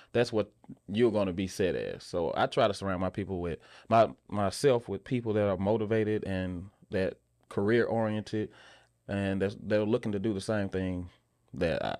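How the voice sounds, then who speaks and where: A man answering casually in a podcast interview, often halting and repeating words as he thinks aloud. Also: on the low side, no room sound, clean studio sound. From Memphis, southern United States. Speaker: guest, actor and filmmaker.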